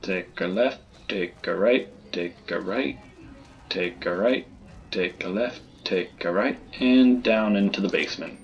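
A man giving casual, low-key let's play commentary, muttering in short phrases. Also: unhurried, highs cut off. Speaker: gaming video host.

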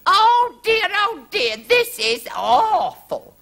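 A man's voice: very high-pitched, sing-song, a little slow, bright, forceful tone, pitched above the performer's own voice, exaggerated voice. A man voicing a firm but fretful storybook queen, insistent and prone to wailing. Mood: sobbing dismay.

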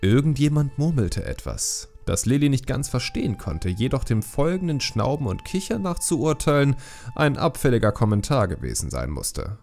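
A man's voice: medium pitch, big pitch swings, normal speed, vocal fry, a little mellow, soft background music. A man reading a fantasy audiobook as a dramatic storyteller. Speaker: audiobook narrator. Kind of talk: dramatic scripted fantasy narration.

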